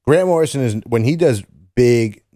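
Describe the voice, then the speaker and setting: A man's voice: medium pitch, lively intonation, normal speed, warm tone, no echo, clean studio sound. A man co-hosting a podcast, talking comics in an enthusiastic, rambling, slangy way. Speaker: podcast co-host. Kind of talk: casual comics chat.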